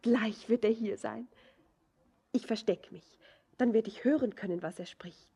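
Dreamy young woman, breathy and husky. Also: a little high, a little slow, dark tone, heavily acted. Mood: eager, excited. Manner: lyrical, breathy, lapsing into whispers. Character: dreamy, tender young miner's daughter in love. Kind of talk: German radio play stage acting.

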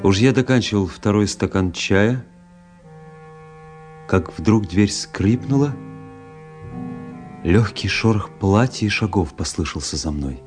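A deep-voiced, world-weary officer recalls his past in a literary radio play. His delivery is measured and brooding, with dramatic weight, and music plays behind the words. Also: lively intonation.

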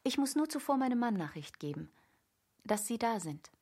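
A woman's voice: a little high, big pitch swings, normal speed, dark tone, husky, faint music behind, heavily acted. An actress playing a poised, secretive married lady of the bourgeoisie in a literary radio drama. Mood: politely excusing herself.

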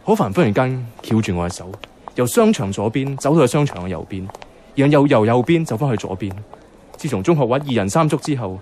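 A man's voice: medium pitch, darkish tone, slight background noise, close to an everyday voice. An actor playing a pragmatic young man in a Hong Kong Cantonese radio drama, hesitant in love, with a dry, incredulous, colloquial way of talking. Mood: puzzled. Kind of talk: radio drama dialogue with some narration. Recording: music under the voice.

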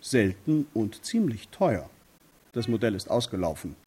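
An actor playing a fussy, self-assured optician in a radio play, talking in clipped, precise phrases. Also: male voice, on the low side, sing-song, unhurried, warm tone, slightly rough, exaggerated voice. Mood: proud, certain. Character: fussy, self-assured male optician proud of his wares.

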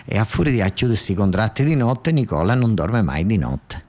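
A man's voice: lowish voice, very animated, moderate pace, a little mellow. A man with a southern Italian accent performing a colloquial, satirical storytelling monologue, earthy and sardonic, with emphatic repetition and asides to his listeners.

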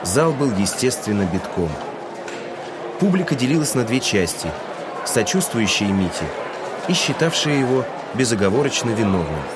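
A man's voice: medium pitch, sing-song, normal speed, a loud crowd, heavy background noise. A man giving scripted literary narration as the narrator of an audio drama.

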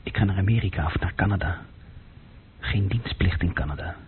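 A man playing a young, self-critical office group leader musing drily in a radio play, with a husky voice. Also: low-pitched, a little fast, a little hiss or hum, close to an everyday voice. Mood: musing, self-mocking. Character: young male bookkeeping group leader, self-critical, sardonic, restless dreamer. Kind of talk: radio play inner monologue. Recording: muffled phone-like old radio recording.

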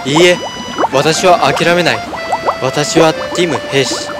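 A man's voice: fairly high pitch, slow, over loud music. A man playing a brave, devoted toy soldier in a children's fairy-tale cartoon, earnest and dramatic. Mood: determined.

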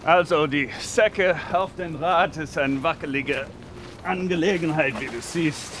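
A man's voice: on the high side, a little slow, lightly acted. Actor as a homeless man amid street noise. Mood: matter-of-fact, proud. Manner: slightly broken German grammar, plain-spoken. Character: proud, easygoing homeless male sanitation worker in Detroit. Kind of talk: naturalistic radio drama, documentary interview. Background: outdoor urban ambience with vehicles.

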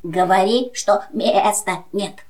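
A woman's voice: mid-range voice, slow pace, darkish tone, lightly acted. A woman playing a grumpy, bossy goat wife in an audio play for children. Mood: feigned politeness.

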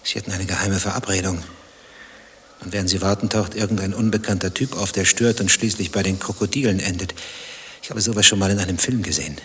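An actor playing a nosy, suspicious stranger in a satirical radio drama, probing and insinuating with deadpan, precise speech. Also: male voice, low-pitched, fast, a little hiss or hum. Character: nosy, suspicious male stranger pestering a waiting man. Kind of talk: dialogue-driven satirical radio drama acting.

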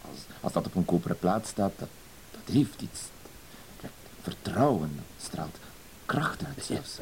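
A low, soft-toned voice with some hiss behind it, from an old radio play. a timid, self-deprecating man who hesitates and stammers. He is painfully polite yet quietly insistent. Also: sing-song, unhurried, vocal fry, full character voice, low-quality recording. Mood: timid, apologetic.

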